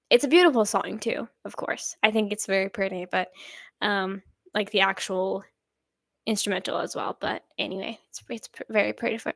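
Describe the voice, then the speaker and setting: A woman's voice: no echo, studio quality. Chatty young woman on a live stream. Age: young adult. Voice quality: somewhat deep voice. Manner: chatty, rambling, frequent fillers and soft laughs. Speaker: reaction channel host. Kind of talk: live stream chat reading comments.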